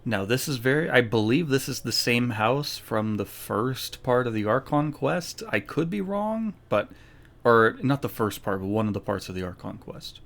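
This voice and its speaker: A man's voice: mid-range voice, lively intonation, normal speed, gravelly, fairly bright tone. A man giving casual, laid-back playthrough commentary on a game, rambling a little and sometimes narrating aloud. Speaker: gaming streamer.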